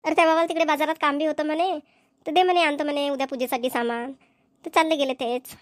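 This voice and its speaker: A woman performs a village woman from a Marathi cartoon comedy with a notably high voice. She speaks in a rural Vidarbha accent and a colloquial, homely way. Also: fairly even intonation, fast, no echo, studio quality, lightly acted. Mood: motherly. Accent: Maharashtrian, rural Vidarbha Marathi. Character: young village women, mothers and nagging wives in a comedy.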